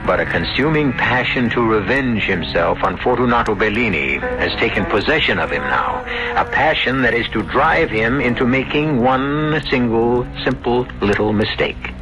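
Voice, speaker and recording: A man narrating a scripted 1970s radio mystery in a grave, deliberate, portentous manner. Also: mid-range voice, animated. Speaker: host and narrator. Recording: dull vintage radio broadcast, prominent music.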